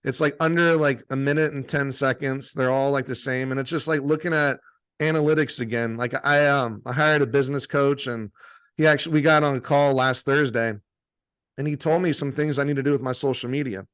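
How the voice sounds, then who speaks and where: A man's voice: medium pitch, steady tone, fairly quick, a bit bright, clear, resonant tone. A young adult man, a meditation teacher and guest, chatting casually with lots of "like" and swearing. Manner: casual, heavy use of "like" and swearing. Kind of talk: casual interview chat.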